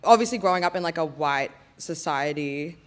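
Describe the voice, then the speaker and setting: Smooth-voiced young woman. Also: low voice, animated, moderate pace. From Australia. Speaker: panel guest. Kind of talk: casual discussion.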